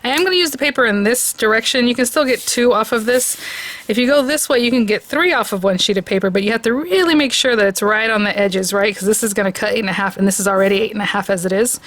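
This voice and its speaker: A hoarse woman hosting a crafting video, chatting excitedly as she reviews and tries out new dies. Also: a little high, quick pace. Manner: chatty and excitable, gushing over products. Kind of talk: casual product review and crafting demo.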